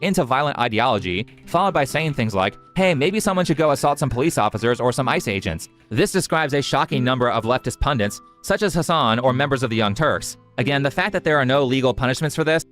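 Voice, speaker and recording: A man reads a scripted political commentary at a rapid clip. His tone is indignant and accusatory, with faint music running underneath. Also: mid-range voice, lively intonation, a bit bright. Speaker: commentary video narrator.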